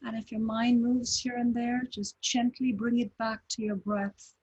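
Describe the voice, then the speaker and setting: A woman leading a guided breathing meditation, giving slow, calm, gentle instructions with long pauses. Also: a little high, husky, highs cut off. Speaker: meditation teacher.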